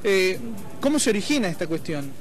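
A man's voice: high voice, very animated, fairly quick, faint music behind. A young man with an Argentine accent from Córdoba, reporting live on a street as a TV reporter with a handheld microphone. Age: young adult. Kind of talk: live news reporting and interviewing. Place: outdoors on a city street.

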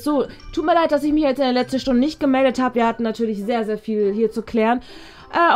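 Woman playing a high-voiced, apologetic school announcer. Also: lightly acted. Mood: apologetic, reassuring. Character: apologetic school official making a public announcement. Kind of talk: school announcement in a comedy skit.

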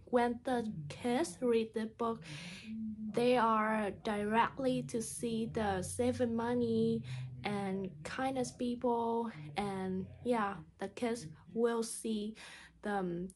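A woman speaks in careful, halting non-native English, in the style of a teacher reading a children's storybook aloud. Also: on the high side, fairly even intonation, a crowd in the background, low-quality recording.

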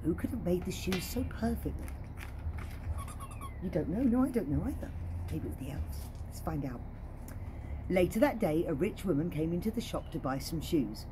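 A woman, around her forties, reading a children's story in a playful, expressive way, with chatty asides like a children's entertainer. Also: fairly low pitch, normal speed, pauses often, noisy background. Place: outdoor garden.

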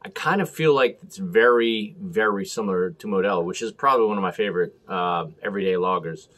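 An American man hosting a casual beer review to camera, talking in halting, clipped short phrases. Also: fairly low pitch, fairly bright tone. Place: small room at home, indoors.

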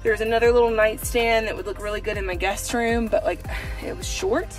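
Woman, 30s, casual vlogger; chatty and filler-heavy, slightly high-pitched, filmed on a phone in a car with light music behind. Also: steady tone, a little fast, poor audio. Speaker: home decor vlogger. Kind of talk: casual chat to camera. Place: parked car. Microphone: phone camera at arm's length.

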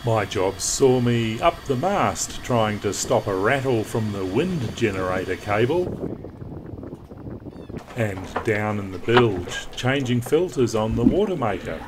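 A man's voice: on the low side, big pitch swings, slow pace, noisy background. A middle-aged man hosting a sailing vlog from on board his boat, chatting upbeat with a few fillers. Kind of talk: casual vlog narration and chat. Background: music under the narration.

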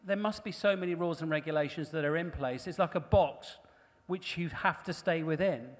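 A man's voice: fairly high pitch, a little fast. A man hosting a live panel interview on stage, asking chatty questions with fillers. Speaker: stage host. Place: large hall on stage before an audience. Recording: long hall reverb.

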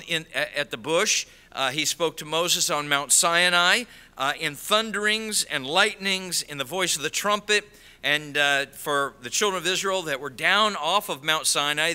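A middle-aged man preaching a sermon from a church pulpit, animated and gesturing, with frequent "uh" fillers. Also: a little high, clear, resonant tone. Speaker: pastor. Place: large church sanctuary. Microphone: pulpit microphone.

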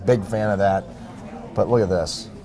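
A man's voice: lowish voice, steady tone, moderate pace. A man in his forties on a lapel mic, hosting a TV food segment with playful teasing jokes. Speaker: TV host. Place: large busy restaurant dining room. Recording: restaurant crowd chatter and music behind.

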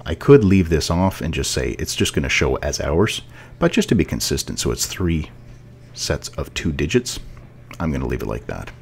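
A man with a deep voice narrates a casual screencast lesson. His intonation moves up and down freely as he explains each step. There is faint noise behind him. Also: big pitch swings, moderate pace, vocal fry. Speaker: programming instructor.

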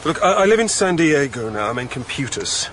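A man's voice: medium pitch, big pitch swings, fairly quick, a bit bright, slight background noise. An actor playing a lost, exasperated modern businessman in a naturalistic radio drama. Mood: flustered.

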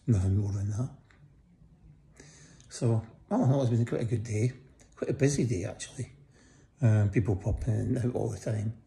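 A middle-aged man talks from a hospital bed, his voice croaky and gravelly. The tone is low and very dark, and the phone sits close to his face. He speaks tiredly, with many pauses, as he gives a casual update. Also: a little hiss or hum. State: croaky voice after breathing tubes. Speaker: vlogger giving a hospital update. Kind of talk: casual personal video update. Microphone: phone held close above face.

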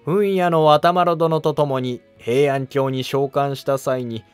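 A man's voice: mid-range voice, steady tone, slow pace, smooth, dry recording, soft background music, voice pushed up, natural voice. A man voicing a shrewd Buddhist monk adviser who asks polite, insinuating questions in a historical drama animation. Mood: shrewd, probing.

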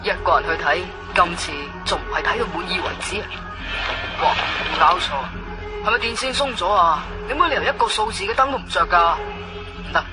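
High-pitched anxious Cantonese home buyer. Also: male voice, expressive, fairly quick, loud music behind the voice, poor audio. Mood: fussy, grumbling. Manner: muttering to himself, exclamatory. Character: anxious, fussy male home buyer, easily alarmed. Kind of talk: radio drama acting.

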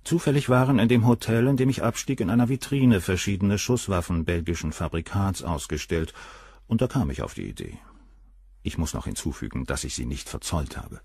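An actor playing a cool, arrogant, middle-aged surgeon who talks down about the women around him, in a radio play. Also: male voice, deep voice, animated, fairly quick, creaky, darkish tone, slightly rough, dry recording, exaggerated voice. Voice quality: cool, cynical, dismissive.

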